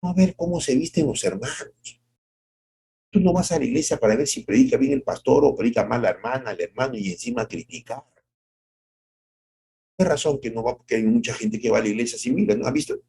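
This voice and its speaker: A middle-aged male pastor giving a devotional sermon, asking rhetorical questions and acting out quotes, moving from soft drawn-out phrases to sudden high exclamations. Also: gravelly, husky.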